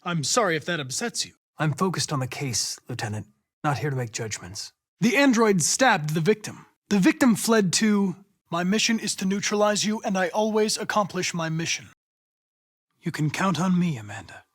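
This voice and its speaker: A young American-accented android detective from a video game. His delivery is clipped and formal, relentlessly focused on the mission, yet his pitch moves widely. The recording is very dry and close. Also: very animated, dry recording. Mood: composed, clinical. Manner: precise, clipped, formal, measured, insistent when pressed. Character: young male android police investigator, polite, composed, relentlessly mission-driven. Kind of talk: video game voice acting, isolated dramatic lines.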